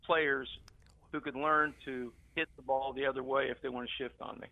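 A man's voice: medium pitch, fairly even intonation, moderate pace, sharp tone, no echo, rough recording. A man giving his opinion casually as a caller to a radio show over the phone. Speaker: radio call-in listener. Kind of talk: casual chat.

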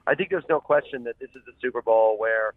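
Tinny phone line, male guest, chatty and emphatic, rambling casual delivery, mid-range pitch, dry. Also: fast, no room sound. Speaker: sports columnist, show guest. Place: calling from a car.

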